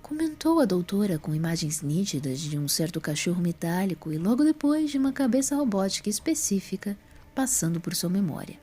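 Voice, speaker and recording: Husky woman narrating a story. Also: mid-range voice, sing-song, a little fast, dark tone.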